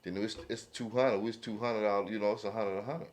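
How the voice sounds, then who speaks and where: A man's voice: fairly low pitch, fairly even intonation, a little fast, a little mellow. A man in a casual, opinionated group chat, speaking emphatically and often checking that listeners follow him. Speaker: podcast panelist.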